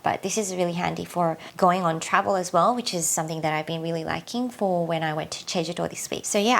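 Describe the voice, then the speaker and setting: A woman with a slightly low voice talks through her beauty favorites in a relaxed, chatty way. Tiredness leaves her voice husky and a little croaky. She fills her sentences with easy "like"s. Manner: chatty, filler-heavy, uses "like" often. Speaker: beauty vlogger. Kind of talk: casual product review.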